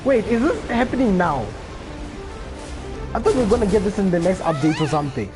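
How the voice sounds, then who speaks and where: A man's voice: sits high, very animated, a little fast. A young man doing casual, slangy reaction commentary as he livestreams a video game. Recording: faint game music behind voice. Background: game music.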